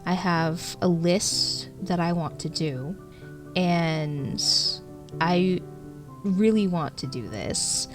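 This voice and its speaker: A woman's voice: fairly low pitch, extremely slow, faint music behind. A woman speaking informally and expressively as an amateur narrator of her own fan fiction. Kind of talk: informal intro and expressive storybook-style reading.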